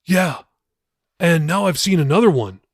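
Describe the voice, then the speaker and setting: Storybook troubled youth, read by a man: sullen, blunt, defensive, fairly high voice, very dry sound. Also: expressive, unhurried, no room sound. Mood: irritated. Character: sullen, troubled young man, curt and guarded.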